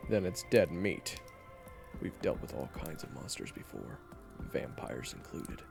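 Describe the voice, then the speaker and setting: A man acting a gruff, cocky young monster hunter in a fantasy audio drama, blunt and sneering. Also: extremely low pitch, big pitch swings, measured pace, music in the background, heavily acted.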